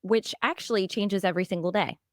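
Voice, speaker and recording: A woman in her late thirties, co-hosting a casual podcast chat, her voice hoarse from a recent strain, telling playful stories and laughing often. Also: medium pitch, brisk, no echo, studio quality. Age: 30s. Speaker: podcast co-host.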